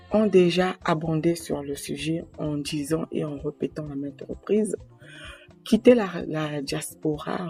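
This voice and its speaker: A woman from Congo-Brazzaville, talking to camera in a slow, earnest way to explain her advice and quoting proverbs. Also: low-pitched, warm tone. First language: a Congolese language. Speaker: association president giving advice.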